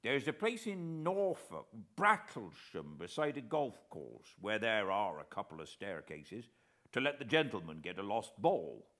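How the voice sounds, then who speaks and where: A man's voice: lowish voice, sing-song, unhurried, fairly bright tone, pitched above the performer's own voice. A British man voicing a respectful, musing old coast guard officer in a storybook reading. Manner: deferential, musing, helpful.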